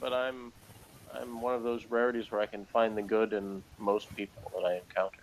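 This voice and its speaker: A man's voice: on the low side, level tone, brisk, a little hiss or hum, rough recording. A man giving a casual, opinionated review in conversation, blunt and rambling in his criticism. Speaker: co-host of an episode review show.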